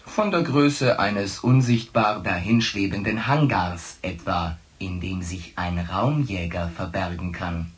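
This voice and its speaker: A spaceship ai, slow n pedantic, cold logic, sci-fi radio play. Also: male voice, sits low. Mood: matter-of-fact. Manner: stilted, pedantic, emotionless phrasing. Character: coolly logical male spaceship artificial intelligence. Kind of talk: science fiction radio play.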